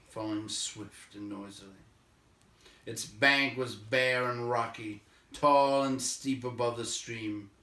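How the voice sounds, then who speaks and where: A man narrating a story aloud in a measured, expressive way, letting phrase endings fall away quietly. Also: mid-range voice. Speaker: audiobook reader, narrator. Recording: roomy echo, distant microphone.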